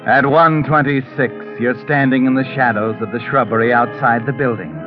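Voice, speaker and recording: An actor playing a knowing, sardonic narrator of a 1940s radio mystery, taunting and suspenseful. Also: male voice, mid-range voice, steady tone, moderate pace, close to an everyday voice. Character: sinister, knowing, all-seeing narrator taunting the schemer. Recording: muffled old radio recording, music behind.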